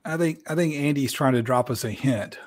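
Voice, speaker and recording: A man's voice: medium pitch, a little fast. A man hosting a live-stream podcast, chatting casually and rambling a bit, with a scratchy, strained voice. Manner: chatty, rambling, self-deprecating. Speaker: podcast host.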